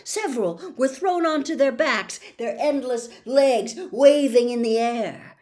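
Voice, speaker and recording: An older woman reads a story aloud with expressive drama. Her voice sits fairly high, and she takes her time, shaping each phrase deliberately. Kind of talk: expressive audiobook-style narration. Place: small bedroom, indoors.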